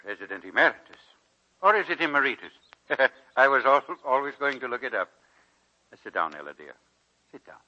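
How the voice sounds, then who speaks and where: An actor playing a kindly, chuckling elderly retired college president in a 1940s radio drama. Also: male voice, mid-range voice, very animated, fairly quick, pauses often, bright, forceful tone. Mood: genial, fond. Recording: dull 1940s radio broadcast.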